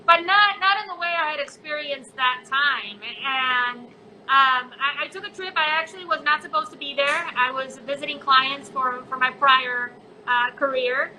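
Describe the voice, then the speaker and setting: A woman's voice: high-pitched, moderate pace, pushed and bright, slight background noise, low-quality recording. A woman who grew up in Colombia, a chocolate maker chatting in an online interview, talking casually with many fillers. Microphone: phone on a live video chat.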